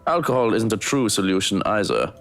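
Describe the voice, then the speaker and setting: An actor playing a composed butler in a visual novel, speaking in a formal, deadpan manner with dry morbidity. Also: male voice, lowish voice, brisk, faint music behind.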